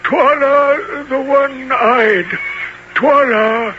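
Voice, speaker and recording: A man playing a humble old African general in a radio drama, fearful and halting as he pleads deferentially. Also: very high voice, steady tone, full character voice. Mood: awed, deferential. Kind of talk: 1970s radio drama acting. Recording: muffled, noisy old radio recording.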